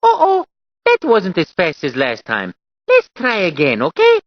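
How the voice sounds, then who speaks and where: A woman's voice: medium pitch, wildly swooping pitch, measured pace, no echo. A woman playing a playful, cheerful little character in a video game, teasing and encouraging. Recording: dull lo-fi clip.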